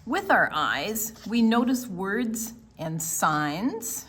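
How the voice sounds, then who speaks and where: An older woman co-hosting a children's storytime, explaining and reading aloud deliberately, with emphasis on single words. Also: mid-range voice, very animated, a bit bright, a little hiss or hum. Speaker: children's storytime co-host and reader.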